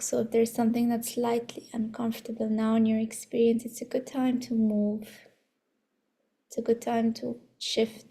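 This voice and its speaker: A woman guides a meditation in a mellow, soft voice with a noticeable crackle of fry. Her delivery is slow and soothing, giving gentle instructions with breathy touches. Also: a little high. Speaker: meditation guide leading a body scan.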